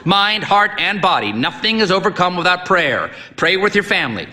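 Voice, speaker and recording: A man reading a story aloud, voicing a massive, half-deaf old priest who talks loud, hearty and commanding. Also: high voice, big pitch swings, moderate pace, pitched above the performer's own voice, full character voice. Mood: booming. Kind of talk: audiobook reading of a short story.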